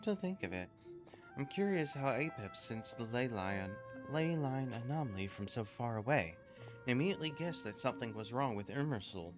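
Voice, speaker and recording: A man's voice: mid-range voice, animated, moderate pace. A man commenting on a video game as he plays and reading along with its story. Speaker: gamer commenting on a playthrough. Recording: game music behind voice.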